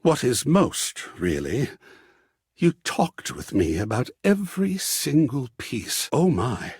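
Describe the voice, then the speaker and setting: An actor playing an effusive, romantic living artwork in a video game, with florid, rhapsodic, theatrical delivery. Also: male voice, medium pitch, very animated, darkish tone, slightly rough, no room sound, clean studio sound, full character voice. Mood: lovestruck, earnest. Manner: florid, rhapsodic, savouring pauses, swooping dramatically. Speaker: voiced dateable character in a dating simulator game.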